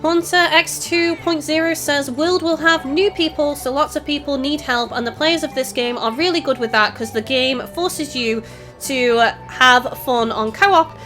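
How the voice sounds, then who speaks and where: Woman, high voice, Scouse accent with Welsh touch, casual game streamer, raised projecting delivery, faint music behind. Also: measured pace, fairly bright tone. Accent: Northwest English Scouse with a touch of Welsh. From Northwest England. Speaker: livestream host, gamer. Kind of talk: casual live gaming commentary, reading out chat. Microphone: desktop USB microphone.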